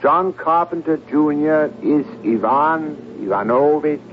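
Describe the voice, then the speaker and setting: An actor playing a calm, probing psychiatrist in a radio drama, speaking in a measured, deliberate, insistent way. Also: male voice, fairly high pitch. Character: calm, probing male psychiatrist confronting a patient. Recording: narrow tinny old radio broadcast, hiss.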